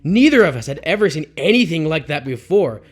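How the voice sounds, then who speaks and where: A man's voice: a little high, sing-song, a little fast. A man hosting a channel, reading online stories aloud and reacting expressively between them. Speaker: host reading Reddit stories.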